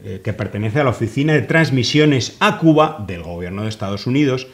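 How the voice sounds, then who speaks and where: A man's voice: mid-range voice, expressive, brisk. A middle-aged man speaks, his delivery sarcastic and mocking. He sits in a small office studio hosting a political commentary conversation. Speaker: host.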